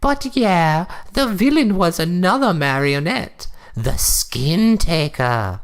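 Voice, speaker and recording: A woman's voice: on the low side, big pitch swings, slow, heavily acted. A woman playing a prim, bossy old wife giving a theatrical comedic reading of a horror story. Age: older.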